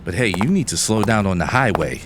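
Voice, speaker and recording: A man playing an upbeat, flirtatious, easygoing fiancé in a romantic audio drama, playful and smooth-talking. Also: fairly low pitch, lively intonation, fairly quick, noisy background, lightly acted.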